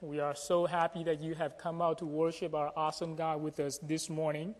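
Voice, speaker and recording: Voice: medium pitch, flat delivery, fairly quick. Pastor addressing a congregation in a reverberant hall. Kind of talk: church announcements and sermon. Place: church sanctuary during a service.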